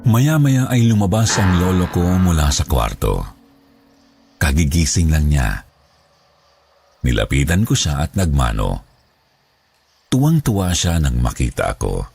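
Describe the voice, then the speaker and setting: A man with a Filipino accent narrating a scripted first-person horror story in a dramatic, deliberate way. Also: deep voice, very animated, creaky, darkish tone, breathy edge. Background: background music.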